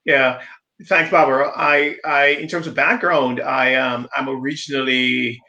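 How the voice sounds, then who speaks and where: A man from Jamaica talks through a video call in a reflective, halting way, often circling back to restart a thought. His voice has a gravelly, creaky edge at an ordinary middle pitch. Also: steady tone, bright, forceful tone, reverberant, lo-fi sound. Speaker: diversity consultant guest. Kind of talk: conversational live-stream discussion.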